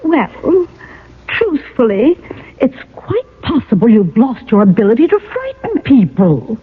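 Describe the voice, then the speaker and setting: A phone-like old radio recording holds a genteel wife of a ghost. Her high, swooping voice coaxes, flatters and gently needles in theatrical radio-play fashion. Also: unhurried, breathy edge, full character voice. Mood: coaxing. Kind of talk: old-time radio acting.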